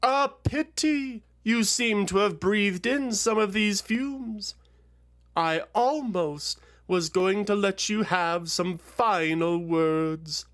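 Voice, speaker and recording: A man voicing a savage, gloating female dragon in a fantasy audio story, mocking and crowing in drawn-out triumph. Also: sits high, fairly bright tone, pitched above the performer's own voice.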